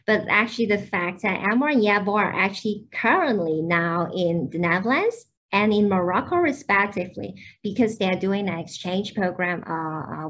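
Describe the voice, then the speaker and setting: Young woman host, webinar on a video call, informative, filler-heavy. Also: medium pitch. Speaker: university admissions manager hosting a webinar. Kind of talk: hosting an online webinar.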